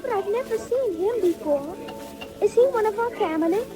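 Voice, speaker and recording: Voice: very high-pitched, expressive, normal speed, music in the background. A performer playing an innocent young orphan boy, earnest and polite, in a 1940s audio drama. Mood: innocent, curious. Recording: old 1940s record.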